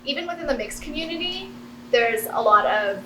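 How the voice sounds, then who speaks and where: A woman's voice: sits high, lively intonation, fairly quick. An American woman from Los Angeles explaining things casually as a guest in conversation. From Los Angeles area, United States. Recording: roomy, faint background noise.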